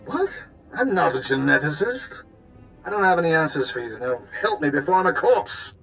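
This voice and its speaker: A man's voice: a little high, sing-song, normal speed, creaky, a bit bright, faint music behind, heavily acted. An actor playing a pompous, wealthy and shady businessman who is imperious and easily panicked, in a video game. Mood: furious, desperate. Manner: imperious, blustering.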